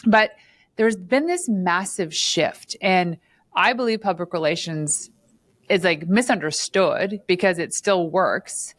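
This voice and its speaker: A woman from Northern Ontario hosting an interview podcast, chatty and quick to laugh, with plenty of fillers. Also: lowish voice, dry recording. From Northern Ontario, Canada. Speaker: podcast host. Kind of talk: casual interview chat.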